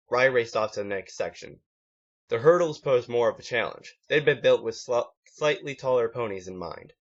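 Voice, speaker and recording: A man narrating a story as an amateur reader, halting now and then and stumbling over words. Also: medium pitch. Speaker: amateur fan fiction reader. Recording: muffled lo-fi home recording.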